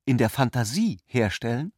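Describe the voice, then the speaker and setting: An actor playing a polite, ironic intellectual cousin who philosophises courteously in a literary radio play. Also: male voice, medium pitch, sing-song, normal speed, a little mellow, no echo, studio quality. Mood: musing, dry.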